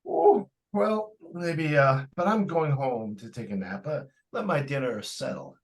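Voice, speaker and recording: A man's voice: a little high, very animated, unhurried. A man plays a skeptical middle-aged father in 1940s radio style. His delivery swoops up and down, indulgent and dismissive, with little hesitant stammers. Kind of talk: 1940s radio drama acting.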